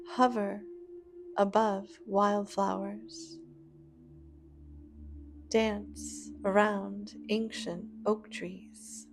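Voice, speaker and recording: A woman guiding a scripted imagery meditation in a gentle, soothing, unhurried manner with long pauses. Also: mid-range voice, gravelly. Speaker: meditation guide.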